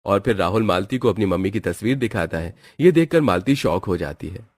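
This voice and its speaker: A man's voice: lowish voice, expressive, brisk. A man narrating a scripted moral cartoon story as its storyteller. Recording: music bed behind the voice.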